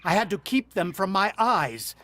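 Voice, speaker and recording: An actor playing a rattled, protective farmhand husband in a suspenseful, vintage-style radio drama. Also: male voice, sits high, very animated, normal speed, fairly bright tone, a little hiss or hum. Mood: tense, breathless narration. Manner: dramatic, suspenseful first-person storytelling.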